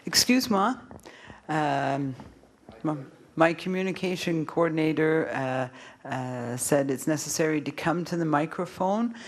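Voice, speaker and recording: Man, moderator, polite, slightly high voice. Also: unhurried. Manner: apologetic, bilingual. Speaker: meeting moderator.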